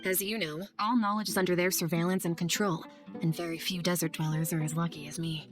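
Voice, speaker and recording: An actress playing an earnest, idealistic young scholar in a video game cutscene, speaking gently and articulately. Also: female voice, lowish voice, steady tone, fairly quick, close to an everyday voice. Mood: earnest, reflective. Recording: music under the voice.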